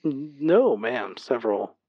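A woman voicing a deferential, calmly precise male chauffeur in an audio drama game. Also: a bass voice, slow pace, breathy edge, no echo, dull audio, voice pushed down, full character voice. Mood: deferential, measured.

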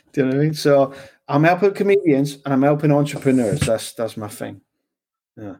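A man's voice: medium pitch, normal speed, a little mellow. A man with a Scottish accent who talks loosely in a casual conversation as the host, often asking "do you know what I mean".

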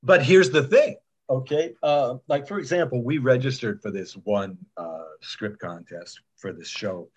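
A man's voice: mid-range voice, a little slow, breathy edge. A man chatting as a podcast guest, opinionated and emphatic, repeating himself and asking rhetorical questions. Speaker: podcast guest, audio drama producer. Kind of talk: casual, opinionated chat. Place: remote call on a computer.

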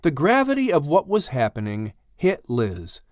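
A man's voice: on the high side, huge pitch swings, normal speed, dry recording, studio quality. A man reading a dramatic story as an audiobook narrator in a scripted storytelling style.

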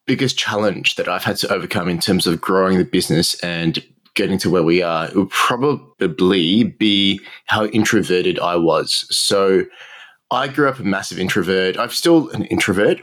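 A man's voice: low-pitched, normal speed, fairly bright tone. A man in his thirties with an Australian accent, a podcast guest telling his story in a candid, self-deprecating way and laughing often. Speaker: guest, marketing entrepreneur. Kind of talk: casual podcast interview.

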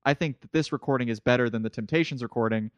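A man's voice: mid-range voice, fast talker, no echo, muffled recording. A young man in his twenties chats on a podcast as a comedian guest. He tells eager, rambling stories full of restarts. The sound is dry and close. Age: 20s. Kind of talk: casual podcast chat.

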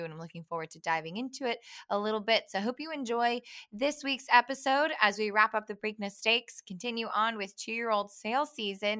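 A tired young woman hosts a casual podcast, chatty and upbeat with plenty of ums. Her voice is bright and crisp, with a smooth, clean tone. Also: mid-range voice. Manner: chatty, upbeat, with frequent fillers. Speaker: podcast host.

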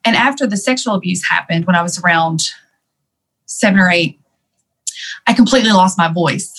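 A woman's voice: on the low side, normal speed, fairly bright tone, breathy edge, low-quality recording. A woman guest in an interview, telling her life story in a candid, reflective way with many "um"s and "you know"s. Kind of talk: candid personal storytelling in conversation.

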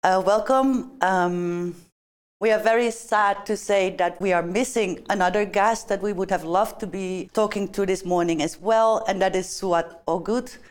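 A woman's voice: mid-range voice, unhurried, a little mellow, clear, resonant tone. A woman moderating a panel talk, asking questions in a hesitant way.

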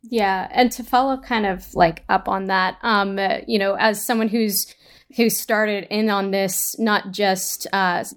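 A woman giving a conversational interview answer, building her thoughts in measured, halting clauses. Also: medium pitch, steady tone. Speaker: interview guest, audio drama creator.

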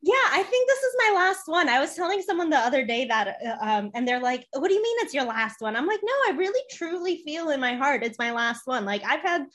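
A woman's voice: sits high, big pitch swings, a little fast, hardly any pauses, a bit bright. A young woman from Poland, a guest on a podcast, talking in a bubbly, giggly way. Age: young adult. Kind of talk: casual podcast interview.

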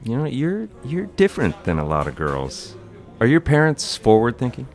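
A man's voice: mid-range voice, huge pitch swings, moderate pace, darkish tone, faint music behind. A man playing an earnest, courteous young suitor in a period audio drama, with a slightly hesitant manner. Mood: earnest, admiring.

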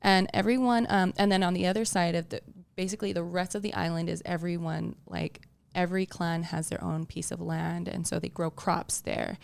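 A woman's voice: fairly low pitch, steady tone, normal speed, gravelly. A woman from Tonga whose first language is Tongan, chatting casually as a podcast guest, with plenty of fillers. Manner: chatty, many fillers like "like" and "you know". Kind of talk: casual interview chat.

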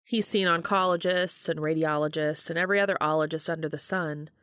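A woman reading a story aloud as scripted dramatic narration, with a creaky voice. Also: sits low, fairly even intonation, brisk, fairly bright tone, no room sound, clean studio sound. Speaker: audiobook-style reader of fan fiction.

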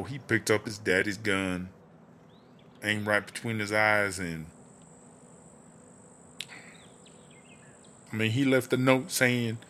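A man's voice: medium pitch, a bit bright, a little hiss or hum, close to an everyday voice. A man playing a tipsy, streetwise woman prisoner in an audio drama, telling stories in a slangy drawl. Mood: somber, hurt. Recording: music playing behind the voice. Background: music.

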